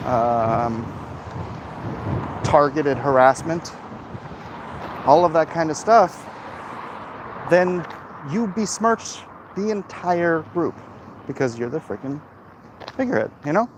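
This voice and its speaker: A man talking casually off the cuff in a rambling, opinionated, halting way, like a livestream host commenting and answering chat. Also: fairly high pitch, lively intonation. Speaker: host of a livestream vlog, comic creator. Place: outdoors, walking in an urban area. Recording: clear outdoor traffic and street noise.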